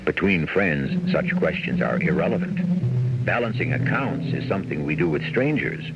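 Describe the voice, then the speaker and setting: A man playing a solemn, portentous host, narrating a radio mystery in a measured, dramatic way with a gravelly voice. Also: deep voice, very animated, very noisy. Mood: solemn, musing. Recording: muffled vintage radio recording. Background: loud music.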